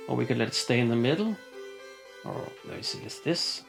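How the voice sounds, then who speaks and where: A man's voice: medium pitch, animated, brisk, vocal fry, fairly bright tone. A man walking through a modular synth patch, explaining casually with frequent fillers and restarts. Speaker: synth demonstrator. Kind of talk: hands-on synth demo. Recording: synth music playing behind. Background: synthesizer music.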